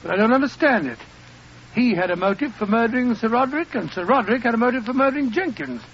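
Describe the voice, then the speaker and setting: An actor playing a detective's loyal, puzzled companion, earnest and questioning, in a radio drama. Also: male voice, very high-pitched, animated, fast talker, fairly bright tone, full character voice. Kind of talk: old radio drama acting. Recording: muffled old radio recording, faint noise.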